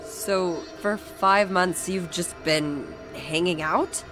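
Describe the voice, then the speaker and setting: Actress doing worn-out skeptical woman, really slow talking, lowish voice, music behind. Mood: weary. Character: exhausted, doubting woman freed from alien control. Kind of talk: audio drama acting.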